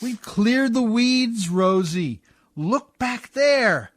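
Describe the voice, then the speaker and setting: Man acting a slow-talking rough riverboat skipper. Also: very high voice, expressive, no echo, clean studio sound, exaggerated voice. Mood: excited. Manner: folksy ungrammatical speech. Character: rough, warm-hearted riverboat skipper, weary but dogged. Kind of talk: radio play acting.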